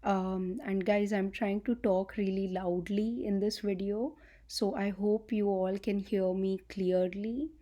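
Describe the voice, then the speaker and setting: Young woman, slow unhurried delivery, warm mellow tone, soft-spoken, plenty of fillers, no mic. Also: steady tone. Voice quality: low-pitched voice by her own account. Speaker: channel host and healing coach. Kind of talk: casual talk to camera. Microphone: no microphone, recorded on device.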